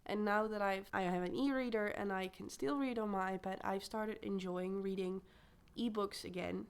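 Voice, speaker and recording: A young woman chatting casually about her reading plans, her voice a little croaky from a recent illness, rambling and correcting herself as she goes. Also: mid-range voice, steady tone, normal speed. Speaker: booktuber. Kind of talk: casual chat to camera.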